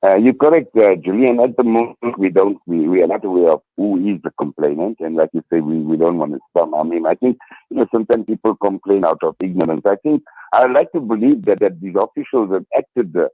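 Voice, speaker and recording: A man's voice: low voice, a little fast, dry recording. A man speaking earnestly as an interview guest over the phone, often repeating his words and phrases. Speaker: interview guest, local community representative. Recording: tinny narrow-band phone line.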